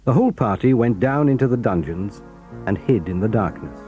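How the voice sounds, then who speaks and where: A man with a British accent narrating a children's story in a measured storybook style. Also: fairly low pitch, animated, music in the background.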